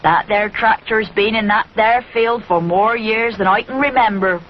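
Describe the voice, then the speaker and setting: A woman voicing a grumbly old male farmer in a storybook, with a gruff rustic drawl. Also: mid-range voice, moderate pace, lo-fi sound, slight background noise, natural voice.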